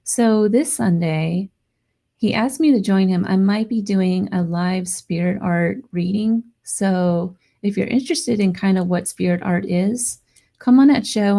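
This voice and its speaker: A woman hosting a live paranormal radio show, hoarse and losing her voice, chatting excitedly with her listeners. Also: on the low side, a little slow. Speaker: radio podcast host. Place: home studio near Las Vegas.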